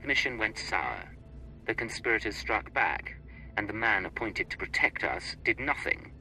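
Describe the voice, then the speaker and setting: An actor playing a cool, bitter secret agent in a space opera video game, speaking in measured, clipped lines. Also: male voice, on the low side, very animated, vocal fry, sharp tone, soft background music, full character voice, poor audio. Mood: cold, bitter. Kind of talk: cinematic video game acting.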